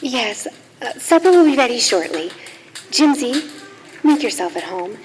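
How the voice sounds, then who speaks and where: A woman acts a gentle, welcoming wife in a radio play. Her voice is fairly high and lilting, with some noise in a reverberant hall. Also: big pitch swings, unhurried. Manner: welcoming, homely. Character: kindly, gentle New England wife. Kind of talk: radio drama acting.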